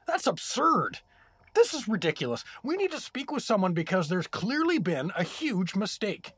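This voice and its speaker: A man's voice: high-pitched, wildly swooping pitch, normal speed, fairly bright tone, husky, no room sound, clean studio sound, heavily acted. An actor playing an indignant, sarcastic everyman from another world who is imprisoned in a comedic fantasy audio drama. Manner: exasperated, complaining, wisecracking.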